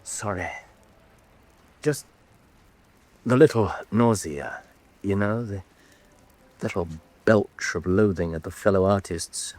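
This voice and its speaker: A man's voice: low voice, a little mellow. An actor playing a disdainful, mocking male artist in a radio drama, with languid, ornate phrasing. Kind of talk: theatrical radio drama acting.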